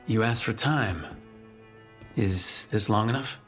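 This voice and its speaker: A man's voice: on the low side, slow pace, fairly bright tone, soft background music. An actor playing a direct, wry and concerned male party leader in a video game, asking things straightforwardly. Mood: probing, casual. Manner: probing, straightforward, lightly wry. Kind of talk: video game dialogue acting.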